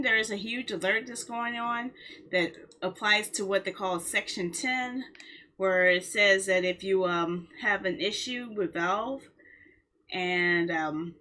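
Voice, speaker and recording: A woman chatting casually on a livestream in a rambling way, with a husky voice. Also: medium pitch, pushed and bright. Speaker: live streamer.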